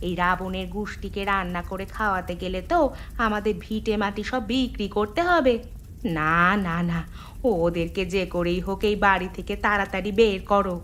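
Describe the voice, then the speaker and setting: A woman's voice: medium pitch, moderate pace, fairly bright tone, a little hiss or hum, close to an everyday voice. A woman playing an outwardly hospitable but exasperated village aunt in a fairy-tale cartoon, with music behind.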